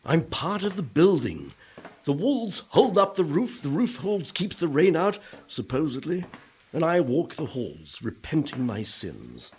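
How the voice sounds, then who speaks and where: Gloomy Puritan ghost, lively intonation. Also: male voice, on the high side, a little slow, husky, heavily acted. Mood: despairing, defensive. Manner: wistful, self-pitying, old-fashioned formal speech. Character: gloomy, repentant male ghost of a Puritan pastor. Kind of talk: radio play acting.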